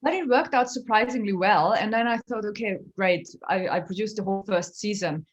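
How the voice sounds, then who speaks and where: A woman's voice: mid-range voice, brisk, lo-fi sound, slight background noise. A woman comedian from Austria, speaking English as a second language in a chatty interview and often laughing as she tells stories. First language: German. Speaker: comedian, guest. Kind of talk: casual interview chat.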